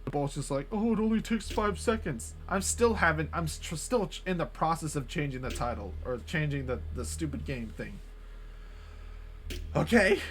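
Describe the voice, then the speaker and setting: A man's voice: on the high side, expressive, normal speed, slight background noise. A man streaming live, chatting casually and rambling, swearing often and restarting his sentences.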